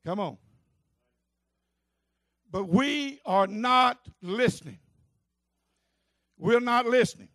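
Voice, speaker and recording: A man with a Southern accent preaching at a church convention, rising from quiet talk to shouted preaching and calling out "come on" and "help me" to the congregation. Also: very high-pitched, lively intonation, slow, pauses often, dry recording, studio quality. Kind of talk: Pentecostal revival sermon.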